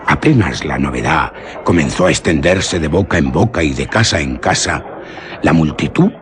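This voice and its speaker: A man with an accent from Spain narrating a scripted suspense tale for radio theatre in a solemn, measured, literary manner. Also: extremely low pitch, lively intonation.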